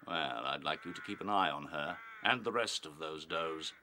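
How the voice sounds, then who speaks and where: An actor playing a commanding rabbit officer in a radio play, clipped, condescending and authoritative. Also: male voice, very deep voice, moderate pace, bright, forceful tone, a little hiss or hum, exaggerated voice. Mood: suspicious.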